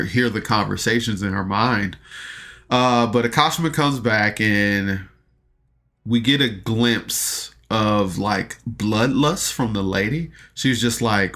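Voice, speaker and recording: A man's voice: fairly low pitch, pushed and bright. A man hosting a review podcast, casually recapping an audio drama in an informal, rambling way.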